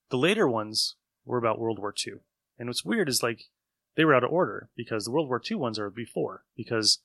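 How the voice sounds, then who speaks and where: A man's voice: medium pitch, pushed and bright, no echo. A man co-hosting a podcast, talking casually about old radio shows. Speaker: podcast co-host.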